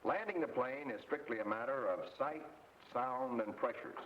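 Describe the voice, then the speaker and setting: American guy doing 1940s instructional film narration, measured teacher type, sounds distant and muffled on an old recording. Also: medium pitch, lively intonation. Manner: measured, didactic, clearly articulated. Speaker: flight training instructor and narrator. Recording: muffled, noisy, roomy vintage film soundtrack.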